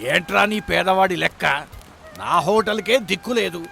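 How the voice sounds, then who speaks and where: Gravelly, sneering rich village businessman played by a man. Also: sits high, normal speed, bright, forceful tone, faint music behind, full character voice. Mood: scornful. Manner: scornful, taunting, pompous. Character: arrogant, mocking rich village hotel owner, male. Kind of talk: dramatized moral story voice acting.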